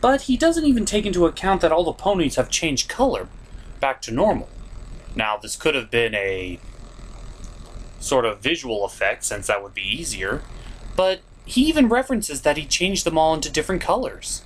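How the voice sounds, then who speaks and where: A man hosts a review with theatrical, exasperated delivery. His pitch swings widely as he builds each complaint in opinionated commentary. Some background noise sits under the voice. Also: big pitch swings, normal speed, low-quality recording. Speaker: host of a cartoon review show.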